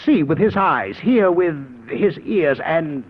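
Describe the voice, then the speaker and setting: Excitable male inventor-professor for vintage radio drama: higher-set, swooping voice, eager lecturing manner, tinny old broadcast sound. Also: takes it slowly. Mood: earnest, explaining. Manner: eager, explanatory, theatrical. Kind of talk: 1950s radio drama acting.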